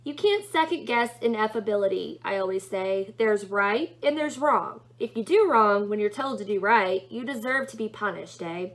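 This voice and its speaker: A woman's voice: medium pitch, expressive, normal speed, few pauses, a bit bright, low-quality recording. A woman reading a storybook aloud, voicing an anxious, polite male angel with a fretful, prim manner. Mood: prim, concerned. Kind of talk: storybook read-aloud character voice.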